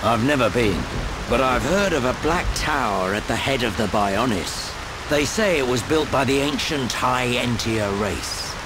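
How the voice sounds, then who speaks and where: Male actor as seasoned gruff mentor in a game, worldly and teasing, mid-pitched, noisy backdrop. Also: expressive, measured pace, close to an everyday voice. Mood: wry, knowing. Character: worldly, wry older male veteran adventurer, gruff mentor. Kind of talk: video game cutscene voice acting.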